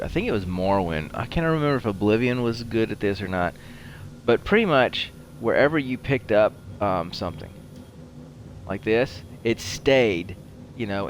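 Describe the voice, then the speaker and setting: Low-pitched man rambling through gameplay, noisy background. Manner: rambling, halting, talks while playing. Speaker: host of a gaming show. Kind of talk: casual video game playthrough commentary.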